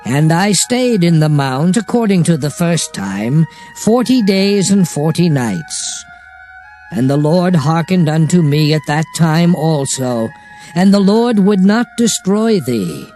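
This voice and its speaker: An actor playing Moses as a solemn prophet exhorting his people in a declamatory, measured dramatized scripture reading. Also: fairly high pitch, animated, warm tone. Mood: solemn, recounting. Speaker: scripture narrator. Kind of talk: dramatized Bible reading in archaic English. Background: music under the voice.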